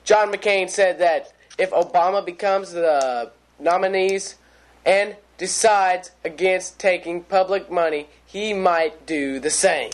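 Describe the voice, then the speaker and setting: A young man reads the stories of a mock newscast at a quick clip, sometimes stumbling over words. His voice sits somewhat high. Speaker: mock news co-anchor.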